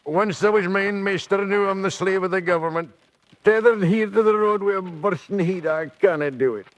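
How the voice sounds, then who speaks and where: A man's voice: high voice, normal speed, no room sound, close to an everyday voice. A man playing a hungover, grumbling but friendly Scottish roadmender in a radio drama, talking in folksy dialect. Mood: complaining.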